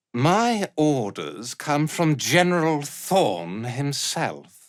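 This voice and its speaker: A man's voice: mid-range voice, a bit bright, no echo, clean studio sound, full character voice. A man playing a haughty, cruel drow cult commander in a fantasy video game, speaking in an imperious, menacing, theatrical way. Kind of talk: dramatic fantasy game voice acting.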